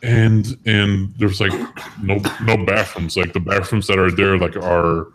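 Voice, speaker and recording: A man's voice: deep voice, little pitch movement, measured pace, fairly bright tone. A man on a voice chat stream, rambling through a crude story and chuckling as he goes. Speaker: stream guest.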